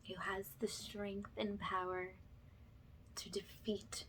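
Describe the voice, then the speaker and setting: A woman speaking softly and breathily, often slipping into a whisper, gently reassuring in the style of a guided ASMR energy-healing session. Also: medium pitch, flat delivery, slight background noise, rough recording. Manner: gentle, slow, reassuring, affirming.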